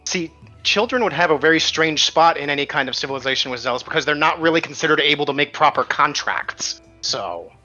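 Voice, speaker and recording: Bright-voiced male game master, slightly lo-fi. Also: a little high, animated, a little fast. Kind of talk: casual explaining of game world lore. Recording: slightly dull lo-fi audio over faint music. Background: faint music.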